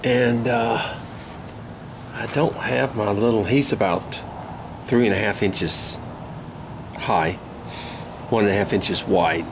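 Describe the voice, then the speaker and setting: An older man with a hoarse voice from a cold, casually talking through a woodcarving demonstration in an easygoing, folksy way. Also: fairly low pitch. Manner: easygoing, folksy, unhurried, with many pauses. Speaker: woodcarving instructor. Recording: steady outdoor ambient noise.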